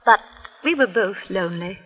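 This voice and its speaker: An actress playing a sweet, trusting older aunt, genteel and fluttery, in the style of 1940s radio acting. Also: female voice, mid-range voice, expressive, moderate pace, husky. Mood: fond. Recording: reverberant, muffled vintage radio recording.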